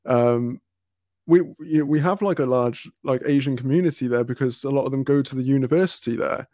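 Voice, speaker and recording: A man's voice: mid-range voice, a little fast, dark tone, no echo, studio quality. A man from Wales, telling rambling anecdotes in a casual chat, often restarting and laughing.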